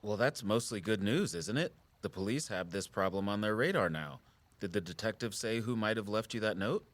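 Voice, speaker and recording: An actor playing a concerned, patient outdoorsman in a naturalistic audio drama, measured and gently frank. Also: lowish voice, natural voice. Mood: worried, frank. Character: Jordan, concerned, patient outdoorsman who watches the woods.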